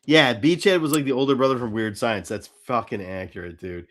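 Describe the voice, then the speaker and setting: A man's voice: medium pitch, animated, fairly quick. A man hosting a casual podcast chat, talking bluntly and with strong opinions, swearing freely. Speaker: podcast host. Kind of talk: casual chat about cartoons.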